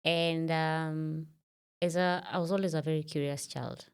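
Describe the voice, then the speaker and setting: A woman's voice: low voice, steady tone, measured pace, smooth. A Kenyan woman around thirty, a podcast guest in a studio, telling her story in a reflective way with many fillers. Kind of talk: casual interview talk.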